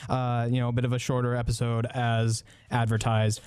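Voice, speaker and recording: A man does casual radio DJ links in a mid-pitched voice. The sound is dry and close, with no room to it. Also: completely flat delivery, moderate pace, a little mellow, clear, resonant tone, no echo.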